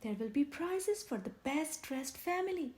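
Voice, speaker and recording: A woman's voice: high-pitched, animated, a little slow, a little mellow, slight background noise. A woman voicing a cheerful, hearty father bear in a children's storybook, enthusiastic and reassuring. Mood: cheerful, eager.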